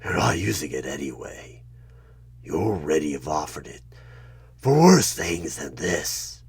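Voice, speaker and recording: A man playing a sinister devilish tempter posing as a job interviewer in a horror ASMR roleplay, with a gravelly, breathy voice that often drops into a whisper and a menacing, persuasive manner. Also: extremely low pitch, very animated, heavily acted. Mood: tempting, sinister. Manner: slow, menacing, persuasive, drawn-out pauses.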